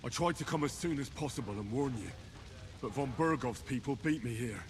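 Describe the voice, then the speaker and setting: A man's voice: mid-range voice, brisk, lightly acted. An earnest, impassioned young man of the Middle Ages voiced by a male actor, hot-headed and bent on revenge. His mid-range voice carries dramatic game dialogue over faint background noise. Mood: bitter.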